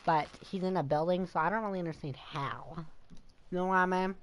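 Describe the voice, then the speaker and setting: Casual, lowish-voiced woman gamer. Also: fairly quick, a little hiss or hum. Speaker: gamer commenting on playthrough. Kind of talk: casual reaction commentary. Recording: game music behind the voice.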